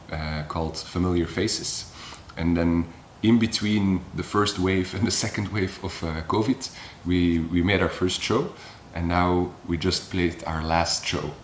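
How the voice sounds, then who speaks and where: A young man from Belgium talks casually about his acrobat work, filling his sentences with "like" and "uh". His voice is very low. The room is echoey, with faint noise behind him. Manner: relaxed, filler-heavy with frequent "like". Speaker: circus acrobat. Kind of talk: casual interview talk.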